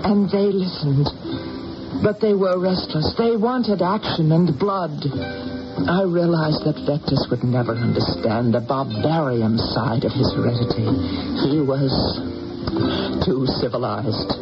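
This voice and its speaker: A proud barbarian queen in an old radio drama. The voice is low and husky, with deliberate, commanding delivery. The recording is dull, with loud music underneath. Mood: grave, reflective. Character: proud, grave warrior queen of ancient Britons. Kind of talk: 1980s radio drama acting, first-person narration.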